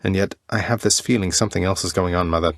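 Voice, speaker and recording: A young prince of the underworld in a dramatic video game. His voice sits fairly low and is recorded dry and close. He is earnest and defiant, with formal, slightly archaic phrasing that turns halting when feelings rise. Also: steady tone, creaky, dry recording. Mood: searching, gentle. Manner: earnest, formal old-fashioned wording, halting when emotional. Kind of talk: video game character dialogue, dramatic acting.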